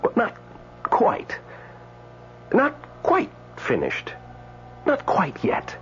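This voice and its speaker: An actor playing an indignant, newly dead man in a radio drama, speaking with hesitant stammers and dramatic pauses. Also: very high voice, huge pitch swings, fairly bright tone, full character voice. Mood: hesitant, bewildered. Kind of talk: radio drama acting with first-person narration. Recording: dull radio broadcast, faint mains hum.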